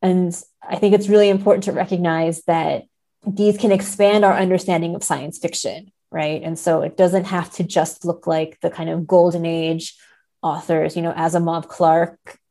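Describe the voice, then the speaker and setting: Woman, low creaky voice, thoughtful scholarly podcast guest, conversational delivery. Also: level tone, normal speed, mellow. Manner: thoughtful, frequent hedging and tag questions. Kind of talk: conversational literary discussion.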